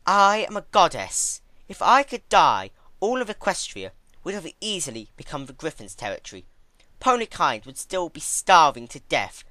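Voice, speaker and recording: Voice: mid-range voice, very animated, pushed and bright, no room sound, voice pushed up. Narrator doing a lofty, ancient female pony goddess. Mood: haughty. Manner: measured, lofty, chiding. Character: serene, haughty ancient female pony goddess, gently condescending.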